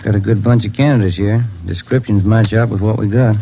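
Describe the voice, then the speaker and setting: A man's voice: lowish voice, fairly even intonation, a little fast, natural voice. A man acting a wry, workaday American detective partner in a 1940s radio crime drama. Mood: wry, businesslike. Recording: dull, noisy 1940s radio recording.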